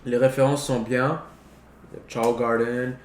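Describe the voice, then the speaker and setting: A man's voice: mid-range voice, flat delivery, slow. Young adult male, mid-range voice, casual heavy conversation, echoey room sound. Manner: slangy, peppered with English words. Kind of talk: casual chat about films. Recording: roomy echo, faint background noise.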